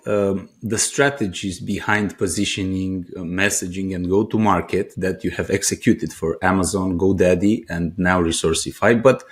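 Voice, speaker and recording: A man with a very low voice hosts a business interview podcast. He introduces his guest and asks his questions hesitantly, with frequent pauses and fillers. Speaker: podcast host.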